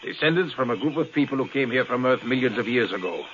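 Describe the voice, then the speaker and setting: An actor playing a cold, secretive, proud space explorer in 1950s radio drama, clipped and commanding. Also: male voice, medium pitch, expressive, fast, fairly bright tone, close to an everyday voice. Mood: guarded, evasive. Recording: noisy, muffled 1950s radio broadcast.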